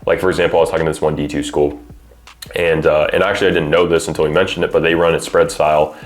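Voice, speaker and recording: A man's voice: rumbling low, little pitch movement, very fast. A man giving conversational recruiting advice as a coach, in an informal way peppered with filler phrases like "you know". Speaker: college football recruiting coach and adviser. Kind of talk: conversational advice, explaining to parents and athletes.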